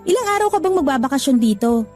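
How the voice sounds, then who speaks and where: A woman's voice: high voice, big pitch swings, quick pace, darkish tone, faint music behind. An actress playing a practical young woman in a Tagalog radio drama, teasing her partner and then scolding him for quitting his job. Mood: affectionate. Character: young woman working abroad, practical, a little irritated.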